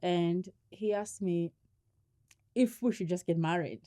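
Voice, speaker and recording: A woman's voice: fairly low pitch, slow pace. A middle-aged woman from Kenya, a guest in a casual interview, telling stories with frequent laughter. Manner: laughs often, animated storytelling.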